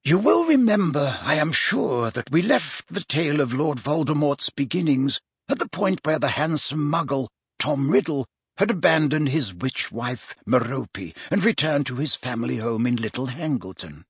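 A grave yet kindly elderly male wizard, performed by a man reading a storybook. He speaks in measured, formal sentences with a lifted, highly varied pitch and a slightly breathy roughness. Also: lively intonation, dry recording, studio quality. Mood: measured, recounting. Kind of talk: audiobook character voice.

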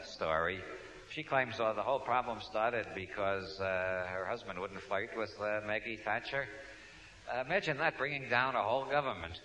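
A man's voice: mid-range voice, bright, forceful tone, a little hiss or hum. A man with a New York accent giving a humorous talk to an audience, wry and digressive, restarting his sentences often. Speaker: speaker. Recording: dull lo-fi recording.

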